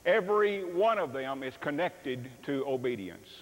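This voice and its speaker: Older pastor, animated stage preacher, long reverb of a large church. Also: male voice, medium pitch, measured pace. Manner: animated, acts out dialogue with sweeping gestures. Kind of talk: sermon.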